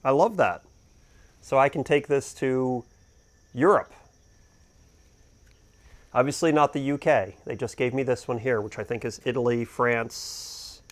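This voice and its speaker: Guy doing a casual boat gear review, average pitch, unscripted, kinda thinking out loud. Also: unhurried, long pauses. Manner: conversational, thinking aloud. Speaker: boating video host reviewing gear.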